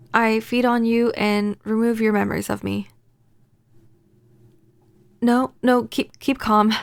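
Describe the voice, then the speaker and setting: A woman playing a pining, possessive vampire neighbor in a soft-spoken, intimate ASMR roleplay, gentle and halting. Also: on the high side, creaky, dry recording, clean studio sound. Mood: nervous, reassuring.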